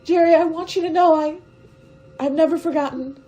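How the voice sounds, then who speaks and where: A woman's voice: extremely high pitch, fairly even intonation, moderate pace, dark tone, soft background music, poor audio. A woman playing a brave, tender young stowaway facing death in a radio drama, speaking in a wistful, hesitant, earnest way. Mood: tender, sad.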